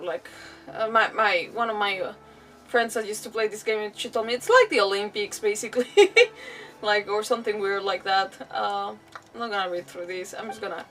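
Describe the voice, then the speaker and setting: A woman game streamer chatting casually to her viewers in an animated, rambling, self-deprecating way. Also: on the high side. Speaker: game livestreamer. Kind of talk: casual livestream chat to viewers. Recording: distant mic, music playing behind. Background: background music.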